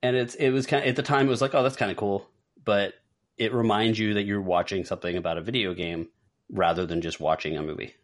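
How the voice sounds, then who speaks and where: A man's voice: lowish voice, steady tone, fast talker. A man co-hosting a podcast, talking in a casual, conversational style. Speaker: podcast host. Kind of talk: casual chat about movies and games.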